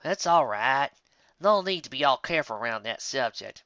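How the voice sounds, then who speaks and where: Storybook farm mare played by the narrator: honest, level-headed, rueful big sister, bright and expressive. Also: medium pitch, normal speed, dry recording, dull audio. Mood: reassuring.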